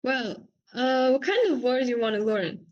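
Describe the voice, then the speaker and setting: Young woman, relaxed casual chat, brighter higher pitch. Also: a little slow, lo-fi sound. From Uzbekistan. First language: Uzbek. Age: young adult. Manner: relaxed, frequent "like" and "actually". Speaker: language exchange partner.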